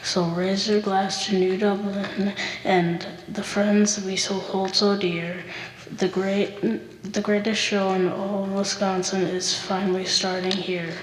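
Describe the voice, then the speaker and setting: A woman's voice: mid-range voice, level tone, takes it slowly, roomy sound, big-room sound, low-quality recording. A young female student reading a rhyming poem aloud on stage.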